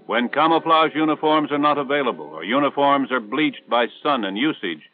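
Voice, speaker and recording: An American man reading scripted instructional narration for a 1960s military training film in a measured, authoritative way. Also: medium pitch, lively intonation. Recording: tinny band-limited 1960s film soundtrack.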